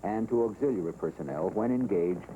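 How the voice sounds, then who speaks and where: An American man reading scripted training film narration in a formal, measured, solemn manner. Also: deep voice, muffled recording, noisy background. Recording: 1970s film soundtrack with music. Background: background music.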